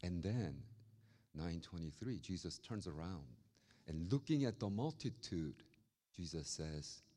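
Animated Korean-accented man preaching. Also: low-pitched, measured pace. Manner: animated, acts out quoted voices. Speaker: pastor. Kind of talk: storytelling sermon.

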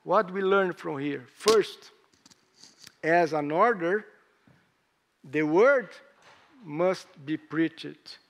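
A hoarse man preaching a sermon in English, speaking in halting phrases with rising emphasis. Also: on the high side. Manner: slow, halting delivery with pauses and rising emphasis; non-native English with grammar slips.

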